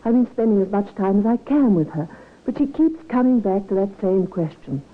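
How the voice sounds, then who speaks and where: Actress as anxious nurse on tinny old radio. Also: female voice, medium pitch, animated, moderate pace, natural voice. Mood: troubled. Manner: polite, earnest, formal. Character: anxious, devoted woman nurse, proper and easily offended. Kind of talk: old radio drama acting. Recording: tinny, lightly noisy old radio recording.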